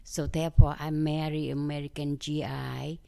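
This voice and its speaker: An older woman from Vietnam with a Vietnamese accent, telling her story in an interview in simple, halting English with many fillers. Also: deep voice, little pitch movement, gravelly, mellow. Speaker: interview guest. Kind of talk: conversational interview.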